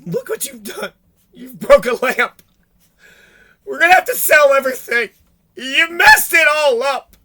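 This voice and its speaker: A man doing a shrill, nagging mother, voice jumping way up high and loud, scornful and exaggerated. It is a sneering caricature thrown off for effect. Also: big pitch swings, measured pace, pauses often, exaggerated voice. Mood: mocking. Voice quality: shrill, mocking.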